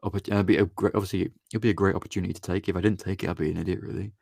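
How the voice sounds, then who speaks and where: A man co-hosting a casual podcast, easygoing and agreeable, with a raspy voice today. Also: deep voice, steady tone, rapid-fire, darkish tone, no echo, studio quality. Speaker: podcast co-host, musician and producer. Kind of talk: casual chat.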